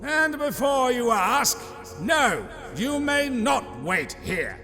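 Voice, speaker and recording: An actor playing an elderly, haughty, sarcastic god librarian in an audio comic drama, with theatrical mock politeness. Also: male voice, very high-pitched, very animated, slow, bright, forceful tone, full character voice. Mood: dismissive. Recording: long reverberant hall effect, music underneath. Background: music.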